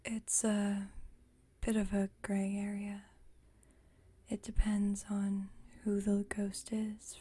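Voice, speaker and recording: A woman playing a gentle, wry, nostalgic vampire roommate in an ASMR roleplay, in a soft, breathy whisper and an unhurried manner. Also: medium pitch. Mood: wry, musing.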